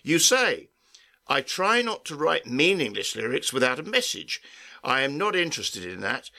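A man hosting an interview, speaking haltingly with frequent restarts and stammers. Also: on the high side, sing-song, gravelly, sharp tone. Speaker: interviewer host.

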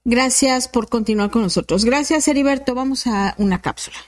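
News host: woman in her 50s, Mexican accent, outspoken and slangy, slightly high voice. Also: normal speed. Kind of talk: casual news talk.

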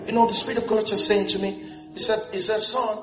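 Pastor, male, high-pitched, lively joking sermon, echoey church with music underneath. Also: fast. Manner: preaching with asides and laughter.

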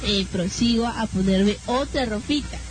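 A woman's voice: on the high side, measured pace, over music. Coy, flirty woman radio host. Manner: flirty, coy, uses cute diminutives. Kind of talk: playful comic radio banter.

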